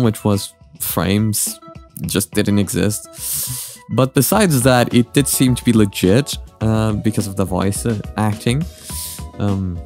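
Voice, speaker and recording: A man's voice: on the low side, darkish tone. A man hosting a casual reaction vlog, speaking in a hesitant, rambling way and often correcting himself. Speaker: host of a reaction channel. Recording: music behind the voice. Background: background music.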